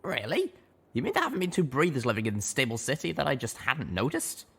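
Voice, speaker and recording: A man's voice: medium pitch, huge pitch swings, fairly quick, gravelly, a bit bright, husky, voice pushed up, full character voice. A man voicing a jaded, sardonic century-old ghoul pony in a colt's body, rambling wearily in a storybook reading. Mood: sarcastic.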